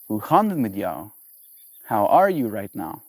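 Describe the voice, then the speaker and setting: A man's voice: fairly low pitch, sing-song, a little slow, dry recording. Playful man, dry sound. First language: Afrikaans. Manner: playful, musing aloud, savoring and repeating words. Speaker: livestreamer. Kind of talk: casual solo livestream chat.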